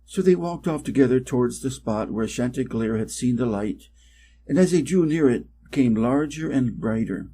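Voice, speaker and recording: A man's voice: mid-range voice, lively intonation, moderate pace, dark tone, breathy edge. A man from Wisconsin with an American accent, reading a fairy tale carefully and expressively for English learners. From Wisconsin, United States. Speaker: storyteller, English reading teacher.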